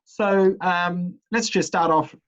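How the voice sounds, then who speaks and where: A middle-aged man, an associate dean, interviewing a student in a relaxed way with many ums and uhs. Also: high voice, slow pace, highs cut off.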